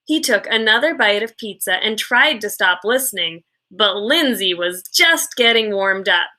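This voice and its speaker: A woman reading a children's story aloud in an expressive storytelling style, like a teacher. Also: mid-range voice, moderate pace.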